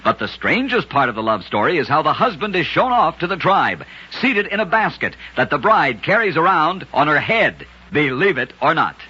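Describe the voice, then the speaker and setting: A dull, noisy vintage radio recording carries a man telling curious tales. His voice sits slightly high and swings in pitch with dramatic emphasis. He reads as a scripted narrator, stressing each point. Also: very animated, moderate pace, hardly any pauses, pushed and bright.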